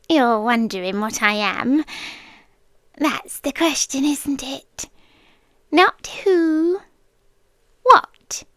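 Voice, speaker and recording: A woman performs a girlish forest deer spirit for an ASMR roleplay, with a British accent. Her high voice swoops playfully and moves very slowly. She is coy, sweetly menacing and possessive, drifting into whispers right at the mic. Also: no room sound, studio quality, heavily acted. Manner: coy, teasing, breathy whispers mixed with soft speech. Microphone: close microphone.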